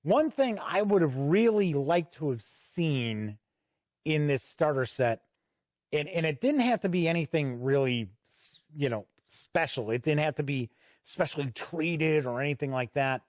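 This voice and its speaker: A man's voice: on the high side, expressive, measured pace, darkish tone, dry recording, clean studio sound. A man hosting an unscripted tabletop game review, talking conversationally and explaining as he goes. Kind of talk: unscripted review commentary while paging through a product.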